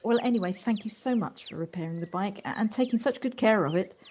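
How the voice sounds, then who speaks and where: A woman's voice: medium pitch, fast, a little mellow, slight background noise, close to an everyday voice. An actress playing a polite, flustered, self-doubting city woman in an audio play, hesitant and apologetic as she talks. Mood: bashful, polite.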